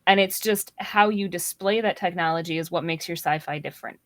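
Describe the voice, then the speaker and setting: A woman's voice: on the low side, a little fast, fairly bright tone, dry recording, studio quality. A woman talking casually as a panelist in a podcast discussion.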